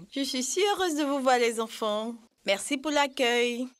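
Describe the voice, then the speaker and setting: A woman playing an affectionate, shrewd grandmother who gently scolds, in an educational cartoon dub. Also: high-pitched, lively intonation, slow. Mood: happy, affectionate. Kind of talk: dubbed educational cartoon acting.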